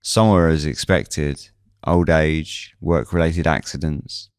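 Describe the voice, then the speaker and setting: A man's voice: rumbling low, steady tone, slow pace, no echo, studio quality. A man reading scripted first-person suspense narration as the narrator of a fiction podcast.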